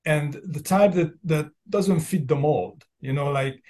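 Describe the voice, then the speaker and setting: A man in his fifties from Hungary, a native Hungarian speaker with a Hungarian accent, talking casually and haltingly as an interview guest. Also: fairly high pitch, dark tone. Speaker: podcast guest, entrepreneur and business coach. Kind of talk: casual personal storytelling in an interview.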